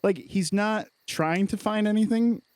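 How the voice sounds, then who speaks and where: A woman's voice: mid-range voice, a little slow, a little mellow, no room sound. A woman playing a tabletop role-playing game, talking in a casual, joking, loose-tongued way.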